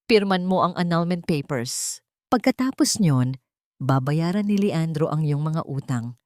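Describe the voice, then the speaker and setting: A woman's voice: sits low, expressive, unhurried, darkish tone, no echo, clean studio sound. A woman voicing a wounded, resolute wealthy young woman in a solemn, dramatic audio story. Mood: cold, resolute.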